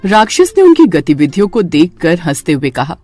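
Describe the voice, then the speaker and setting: A woman's voice: on the low side, sing-song, moderate pace, mellow. A woman narrator reading a scripted horror story in a dramatic storytelling manner.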